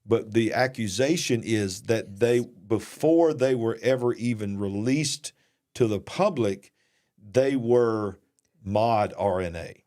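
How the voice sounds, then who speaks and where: A man's voice: lowish voice, slow pace, darkish tone. A man with a Southern US accent hosting a live online show, talking in a casual, joking, folksy way. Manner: jokey, folksy, mocks fake accents. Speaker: host. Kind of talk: casual live-stream commentary.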